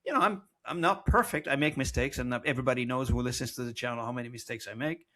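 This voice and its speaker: A man's voice: medium pitch, quick pace, fairly bright tone. Man hosting, searching for words. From Lebanon. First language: French. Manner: rambling, repeats words while searching. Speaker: podcast host. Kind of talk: casual interview chat.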